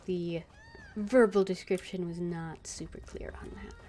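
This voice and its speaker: Casting: female game streamer, lowish voice, casual and murmury, faint game noise behind. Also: steady tone, a little slow, mellow. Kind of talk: casual live gameplay commentary.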